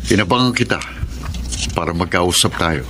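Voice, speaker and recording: A man's voice: low voice, normal speed, background noise, lightly acted. A man playing a brooding, jealous husband longing for his estranged wife in a Filipino radio drama, with music behind him. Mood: angry, threatening.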